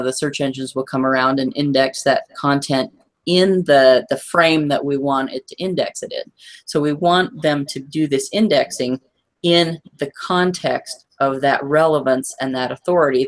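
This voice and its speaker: A hoarse woman presenting in a webinar, walking listeners through a chart and checking that they follow. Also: rumbling low. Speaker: guest presenter.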